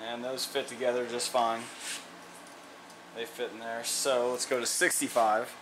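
A plainspoken man talks through his hands-on repair work at a middling pitch, pausing and restarting as he measures. A faint air-conditioning hum sits under his voice in a garage workshop. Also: flat delivery, fairly bright tone, poor audio. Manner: plainspoken, thinks aloud with fillers and restarts. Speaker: mechanic demonstrating an engine rebuild. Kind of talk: casual how-to narration while working.

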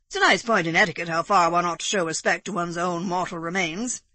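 A woman reading aloud, voicing a dry, ironic older gentleman. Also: fairly low pitch, fairly quick, no echo.

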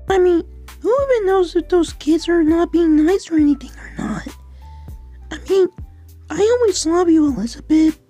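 A woman's voice: extremely high pitch, warm tone, heavily acted. A woman voicing a sweet, unsure young boy in an amateur cartoon, speaking hesitantly and politely. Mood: tender.

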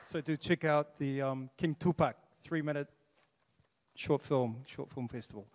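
A man's voice: fairly high pitch, measured pace, a little mellow. An older man hosts a panel, upbeat and playful, sprinkling in Maori greetings. His handheld mic carries a long reverb tail from the large hall around him. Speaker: panel moderator. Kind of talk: moderating a panel. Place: large formal hall on stage.

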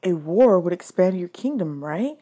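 A woman's voice: on the low side, animated, moderate pace, warm tone. Lady doing a kid hero from a storybook, kinda nervous but blunt, hesitant and asking questions. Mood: careful.

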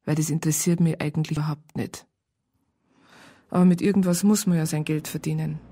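A woman's voice: deep voice, steady tone, brisk, dark tone, breathy edge. A woman playing a dry, matter-of-fact police psychologist in a radio crime drama. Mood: dry narration. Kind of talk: first-person narration and dialogue in radio crime drama.

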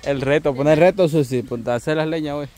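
A man's voice: a little high, fast talker, a little mellow. A young man chatting outdoors by a river, joking and laughing as he sets playful challenges. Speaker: vlogger. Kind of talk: casual outdoor chat.

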